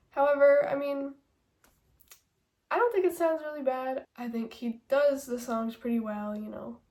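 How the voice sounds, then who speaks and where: A woman's voice: fairly high pitch, darkish tone. Stuffy-nosed young woman rambling casually. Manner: rambling, many fillers and hedges. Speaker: music vlogger. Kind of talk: casual album ranking chat.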